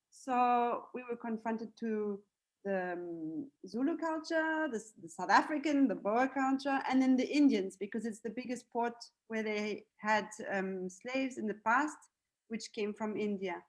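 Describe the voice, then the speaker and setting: Chatty young woman with a high voice. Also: poor audio. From Switzerland, raised in Zimbabwe. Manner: chatty, many fillers and restarts, light laughs. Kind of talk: casual interview chat.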